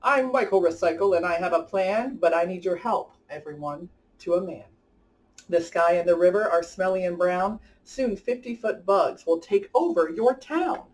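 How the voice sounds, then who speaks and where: A woman's voice: lowish voice, moderate pace, lightly acted. A woman reading the part of an earnest, rallying boy superhero of recycling in a children's storybook. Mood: urgent, rallying. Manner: urgent, rallying. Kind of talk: children's storybook read-aloud. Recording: distant from the mic.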